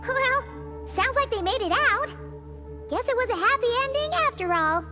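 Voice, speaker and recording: A woman voicing a tiny, excitable fairy companion in a video game, childlike, squeaky and chatty with a theatrical, exclamatory manner. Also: moderate pace, full character voice. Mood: intrigued, surprised. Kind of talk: English video game voice acting. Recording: music behind the voice.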